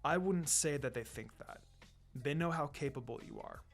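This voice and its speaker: A man voicing a calm, firm, encouraging adult ninja teacher with a measured, instructive delivery in a story reading. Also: a little high, gravelly. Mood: gentle, reassuring. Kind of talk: fan fiction reading with character voices. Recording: music behind the voice.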